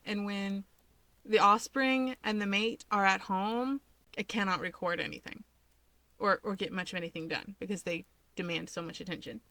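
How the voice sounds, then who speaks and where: A woman narrates like a storyteller hosting her own channel, moving between expressive reading and informal chat. Her voice sits at a middle pitch and is recorded close and dry. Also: dry recording. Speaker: fan fiction reader, channel host.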